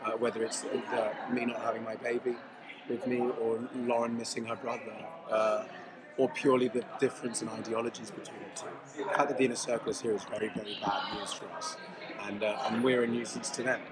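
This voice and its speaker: A man's voice: medium pitch, little pitch movement, brisk, poor audio. Man talking over a roaring crowd. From London, England. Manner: thoughtful, restarts often. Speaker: actor interviewed about his series. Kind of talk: casual press-junket chat. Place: busy convention floor. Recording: loud convention crowd behind.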